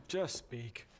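A man's voice: medium pitch, noisy background, heavily acted. A man playing a cocky, weary demon hunter for hire in a video game cutscene, with a sardonic, laid-back drawl. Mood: curt, guarded. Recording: music behind the voice.

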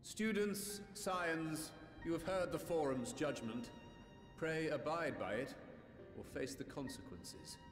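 A man's voice: a little high, wildly swooping pitch, heavily acted. Stern council chairman, echoing chamber. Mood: stern, official. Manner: formal, commanding, measured. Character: stern male council chairman, authoritative and officious. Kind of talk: video game cutscene acting. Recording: echoey chamber reverb, music behind.

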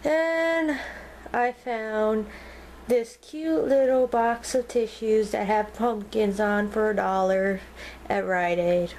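A woman filming a casual tour of her home and naming each item as she goes, pausing and restarting often. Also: on the high side, steady tone, darkish tone, a little hiss or hum, rough recording. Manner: halting, with frequent ums and restarts. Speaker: vlogger giving a home decor tour. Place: inside a home, walking room to room. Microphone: handheld camera held by the speaker.

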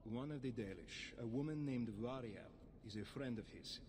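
An actor playing a stern official in a fantasy video game, speaking formally and gravely. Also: male voice, mid-range voice, measured pace, background noise, lightly acted. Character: stern male official enlisting help to catch an assassin. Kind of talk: video game dialogue acting.

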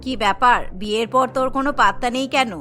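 A woman's voice: on the high side, quick pace, bright, forceful tone, soft background music, pitched below the performer's own voice. A woman voicing a nosy, teasing young female friend in a cartoon, chatty and inquisitive. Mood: curious, teasing.

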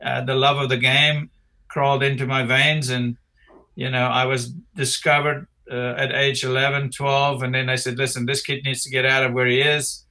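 A bright, clear-toned man in his sixties with a South African accent talks over a video call from a home office. He rambles in a relaxed way, leaning on fillers and restarting his sentences. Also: mid-range voice, normal speed. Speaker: interview guest, retired tennis player. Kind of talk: casual interview chat. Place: home office with framed pictures.

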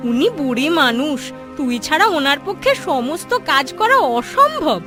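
Woman voicing a very high-pitched, protective village sister. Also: sing-song, normal speed, fairly bright tone, faint music behind. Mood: concerned. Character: caring, outspoken young village woman, protective elder sister type. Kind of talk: cartoon story dialogue.

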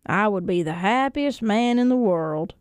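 A woman voicing an excitable, naive, kindly married man in a folktale, earnest and exclamatory. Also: mid-range voice, a little slow, clear, resonant tone, no echo, lightly acted. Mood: delighted, wistful.

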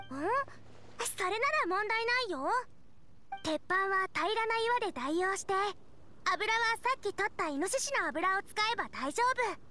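A woman's voice: very high voice, expressive, unhurried, a little hiss or hum, full character voice. An actress playing a cheerful, eager young girl chef in an anime game, bubbly and earnest. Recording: clean studio voice over soft music.

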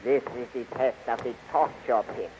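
An actor playing a cruel, commanding tyrant emperor in a 1930s radio serial, with pompous, formal delivery. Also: male voice, medium pitch, normal speed, a little mellow, lightly acted. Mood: sinister relish. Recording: muffled, hissy 1930s radio recording.

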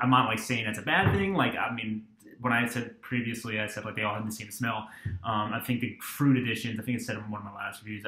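A young man in his twenties talks through a beer tasting in a loose, rambling way, full of ums and false starts. His voice sits a little low. The sound comes off a webcam mic in a small room with a noticeable echo. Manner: relaxed, rambling, many ums and restarts. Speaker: beer reviewer. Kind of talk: casual beer review vlog. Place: small dorm-like room indoors.

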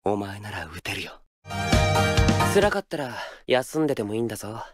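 An actor playing a cool, cutting high school senior ballplayer in a baseball game, understated in delivery. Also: male voice, sing-song, moderate pace, over loud music. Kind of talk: anime-style game character lines.

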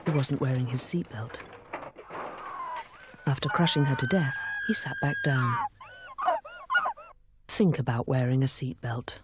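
A man's voice: mid-range voice, a little slow, pauses often. A man narrating a public safety ad in grave, terse sentences. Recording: very noisy archive TV soundtrack.

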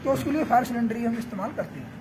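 A man's voice: extremely high pitch, very animated, rapid-fire, noisy background. A young man working as a welding foreman, explaining safety gear earnestly in an on-site interview in a large workshop shed. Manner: earnest, explains while holding up his gear.

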